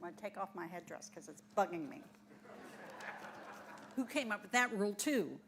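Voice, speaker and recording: A woman's voice: medium pitch, expressive, normal speed, noisy background, close to an everyday voice. A woman playing Mary Magdalene, a defiant, outspoken disciple of Jesus, blunt and indignant in a church dramatic reading.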